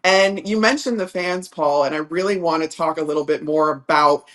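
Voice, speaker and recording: A woman's voice: sits low, normal speed, fairly bright tone. Earnest woman hosting sports talk. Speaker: sports show host, interviewer.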